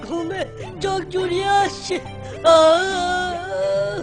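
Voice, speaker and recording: A man's voice: very high-pitched, little pitch movement, extremely slow, creaky, full character voice. A man voicing a stingy, scolding, middle-aged village sweet-seller in a comic cartoon. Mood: drowsy, bossy. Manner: scolding, dismissive, mocking. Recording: music behind the voice.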